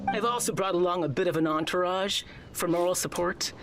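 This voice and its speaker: A woman's voice: fairly low pitch, brisk, natural voice. A woman voicing a boastful, self-assured tournament contender in a video game, smug and self-satisfied. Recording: loud music behind.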